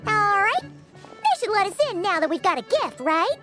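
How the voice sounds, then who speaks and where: A very high, squeaky little sidekick, chirpy and eager. The pitch bounces all over in cartoonish game style, with music in the background. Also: female voice, big pitch swings, moderate pace. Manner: chirpy, childlike. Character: tiny, chirpy, eager childlike game companion. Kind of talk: cartoonish video game acting.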